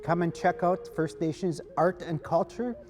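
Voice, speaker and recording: A man's voice: a little high, normal speed, a little mellow. A man, speaking as an artist and organizer promoting an event. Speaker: artist and art festival organizer. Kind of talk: promotional interview talk to camera. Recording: reverberant hall, music bed underneath. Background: music.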